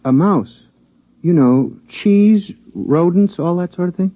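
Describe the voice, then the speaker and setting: An actor in an old radio drama playing a talking lab mouse, a plain-spoken, henpecked husband who dryly insists. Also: male voice, high-pitched, expressive, slow, no room sound. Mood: blunt, insistent. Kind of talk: 1950s radio drama acting. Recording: dull vintage radio broadcast recording.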